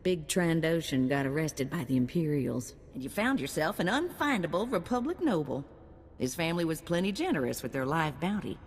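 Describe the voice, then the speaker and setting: Seasoned game fixer: deep voice, colloquial, dry humor, long reverb. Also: female voice, animated, brisk, slight background noise. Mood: chatty, wry. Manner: colloquial, wry. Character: folksy, seasoned female bounty hunt fixer. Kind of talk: video game voice acting.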